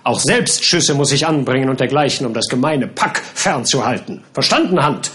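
A man voicing a pompous, irate older landowner captain who barks orders, raised and loud. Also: medium pitch, expressive, moderate pace. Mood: commanding. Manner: barking, irate, commanding.

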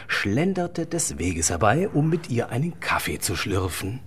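A man narrating a radio story in a measured, read-aloud storybook manner. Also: mid-range voice, sing-song, background noise.

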